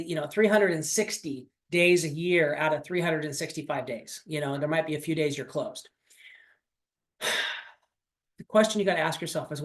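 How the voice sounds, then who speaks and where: A man in his late forties leading a weekly motivational sales-coaching call, talking in a repetitive, encouraging way with frequent fillers. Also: a little high. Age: 40s. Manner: coaching, repetitive, pep-talk with many fillers.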